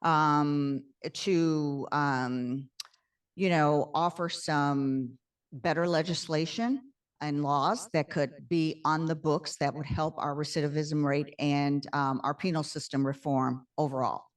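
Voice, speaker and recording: A woman hosting a podcast interview, with a very low voice for a woman. She speaks earnestly and often pauses on "um." She sounds a little distant from the mic. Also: fairly even intonation. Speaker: podcast host. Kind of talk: conversational interview.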